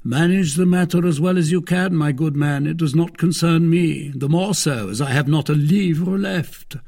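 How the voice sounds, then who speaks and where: A man voicing a proud, hard-drinking gentleman swordsman in a storybook reading, declamatory and lordly. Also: on the high side, moderate pace, gravelly, pitched above the performer's own voice. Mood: lordly, commanding.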